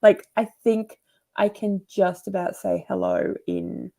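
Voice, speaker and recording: A middle-aged woman chats easily on a livestream and laughs often. Her voice is heavily gravelly and warm. She sounds relaxed and conversational. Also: fairly low pitch, slow. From Australia. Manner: chatty, laughs often, reads comments aloud. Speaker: host. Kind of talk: casual livestream chat.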